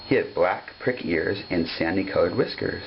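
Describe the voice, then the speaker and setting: A man's voice: low voice, normal speed. A man narrating a children's story from the page, on a dull home recording with some hum. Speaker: storybook reader.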